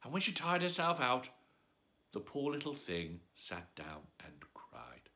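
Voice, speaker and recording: A man's voice: mid-range voice, sing-song, unhurried, gravelly, bright, forceful tone. A man reading a children's story aloud as a bedtime storyteller, with a theatrical, swooping delivery.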